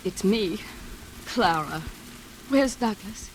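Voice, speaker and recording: A woman's voice: medium pitch, animated, takes it slowly, slight background noise, poor audio. An actress playing an anxious, insistent mother in a 1940s radio drama, speaking with earnest, precise, pleading delivery. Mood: urgent, pleading. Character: anxious, insistent mother and sister, recalling horror.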